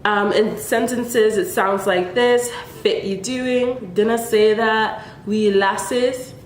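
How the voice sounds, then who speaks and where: A woman's voice: medium pitch, steady tone, slow, a little hiss or hum. A young woman from Nigeria chatting playfully to her viewers in a casual vlog, with laughs between remarks. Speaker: vlogger. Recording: echoey room.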